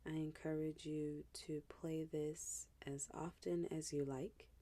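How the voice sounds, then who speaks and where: Slow, low-voiced woman guiding meditation. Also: level tone. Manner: hushed, gentle, unhurried, with pauses. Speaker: meditation guide.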